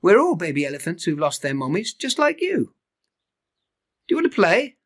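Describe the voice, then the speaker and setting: A man's voice: high voice, wildly swooping pitch, moderate pace, voice pushed up, heavily acted. A man voicing friendly, welcoming baby elephants in a children's storybook, open and eager.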